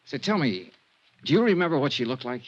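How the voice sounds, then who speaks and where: An actor playing a polite, wry hard-boiled insurance investigator who also narrates a 1950s radio drama, in a dry, courteous manner. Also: male voice, mid-range voice, big pitch swings, fairly quick. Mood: polite, probing. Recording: muffled 1950s radio recording.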